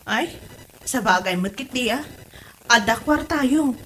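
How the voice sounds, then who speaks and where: A woman's voice: on the high side, expressive, slow pace, a bit bright, a little hiss or hum, rough recording. A woman acting a nosy, chatty wife who gossips about her in-laws in an Ilocano comedy drama, with an Ilocano accent. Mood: gossipy, prying.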